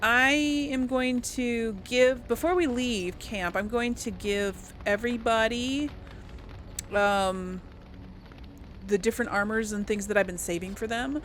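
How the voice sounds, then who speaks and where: A woman's voice: fairly high pitch, steady tone, measured pace, fairly bright tone, a little hiss or hum. A woman hosting a video game playthrough, commenting casually and thinking aloud as she goes. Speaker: gaming video host.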